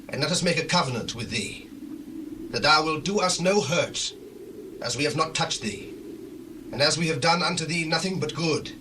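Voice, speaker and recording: Man playing a high, distant, earnest envoy. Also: lightly acted. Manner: formal, entreating. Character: earnest visiting envoy pleading for peace. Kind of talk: dramatized scripture reading. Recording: distant, noisy old recording.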